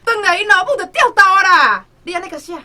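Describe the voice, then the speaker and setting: A woman with a Taiwanese accent playing a distraught, scolding village mother in a radio drama, loudly wailing her complaints. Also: very high voice, expressive, fast, soft background music, full character voice. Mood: wailing, exasperated.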